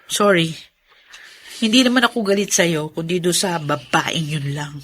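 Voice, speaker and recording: A Filipino actress playing a resentful, sharp-tongued older sister in a radio drama, scornful and accusing. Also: female voice, lowish voice, expressive, moderate pace, a little hiss or hum, close to an everyday voice.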